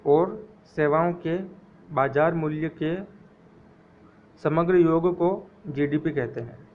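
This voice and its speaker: A man teaching an exam-prep economics lecture, repeating key phrases slowly so students can memorize them. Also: fairly high pitch, narrow, tinny audio.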